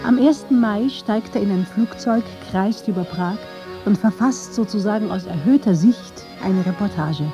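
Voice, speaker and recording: A woman narrating a scripted documentary in a measured, factual manner. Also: mid-range voice, music in the background.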